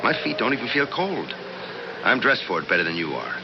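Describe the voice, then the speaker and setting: An actor playing a practical, impatient husband at a snowbound cabin in a 1970s radio drama, brusque and dismissive. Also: male voice, lowish voice, wildly swooping pitch, fairly quick, gravelly, heavily acted. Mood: brisk reassurance. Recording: muffled, noisy 1970s radio recording.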